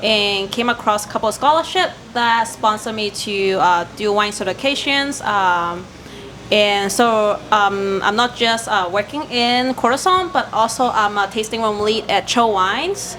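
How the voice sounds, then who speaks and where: A woman's voice: medium pitch, lively intonation, unhurried, pushed and bright, a little hiss or hum. Hesitant woman from Hong Kong. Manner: hesitant, many fillers. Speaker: sommelier, podcast guest. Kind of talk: casual podcast chat.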